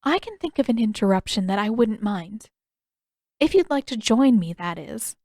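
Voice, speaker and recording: A woman voicing a gentle, wistful young princess in a storybook reading, speaking politely in measured, formal phrases. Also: on the high side, big pitch swings, dry recording, clean studio sound. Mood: warmly pleased.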